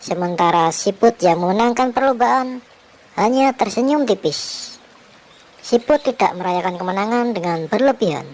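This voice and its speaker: A woman narrating a children's story in a gentle, clear storybook manner. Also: medium pitch, lively intonation, moderate pace, rough recording.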